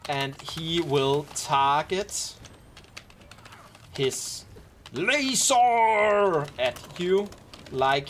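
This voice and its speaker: Man streaming a game, gentle and easygoing, slightly high voice, unhurried, faint keyboard typing. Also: slight background noise. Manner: gentle, easygoing, chatty with wry asides. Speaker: streamer and squad commander. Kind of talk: casual live-stream, explaining game mechanics. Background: faint keyboard typing and clicks.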